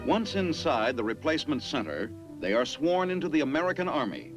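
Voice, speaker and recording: A man's voice: on the high side, very animated, fairly quick, over music. A man giving scripted narration for an army documentary in an earnest, declamatory way. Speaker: newsreel narrator.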